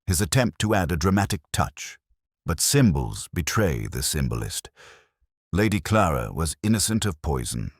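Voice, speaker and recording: Man acting as a composed Victorian sleuth, extremely low voice, animated swoops, theatrical, precise, storybook detective. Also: no room sound, clean studio sound. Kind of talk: audiobook detective story dialogue.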